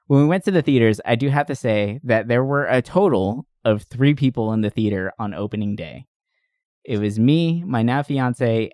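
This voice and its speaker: A young man talks close to the mic in a very dry recording with no room sound. He hosts a podcast and retells movies casually and comically. His delivery is animated, full of slangy asides and swearing. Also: mid-range voice, no room sound. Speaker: podcast host. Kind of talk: casual comedic movie recap.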